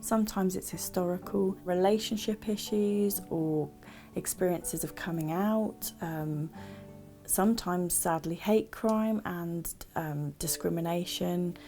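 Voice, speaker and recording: Mellow, creaky-voiced woman. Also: lowish voice, takes it slowly, faint music behind. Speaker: charity support worker. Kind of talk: explanatory interview talk.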